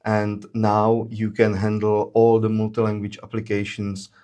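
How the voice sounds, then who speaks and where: A man's voice: fairly low pitch, monotone, dark tone. Man presenting a webinar. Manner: frequent "uh" fillers, measured explanatory delivery. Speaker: webinar presenter, solution engineer. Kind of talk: explaining product features in a webinar.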